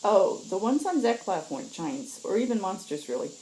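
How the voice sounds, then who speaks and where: A woman's voice: medium pitch, very animated, normal speed, darkish tone, pitched above the performer's own voice, lightly acted, poor audio. A woman narrator voices a chatty female space scout in a science fiction audiobook. She sounds decisive and matter-of-fact, talking conversationally, with some background noise behind her. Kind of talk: acted audiobook dialogue.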